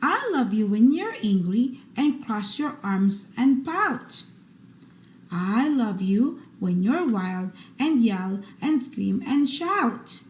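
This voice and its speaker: A woman hosting a read-aloud for children, reading picture books in an expressive, deliberate bedtime storytelling style. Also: a little high. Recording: roomy echo, faint background noise.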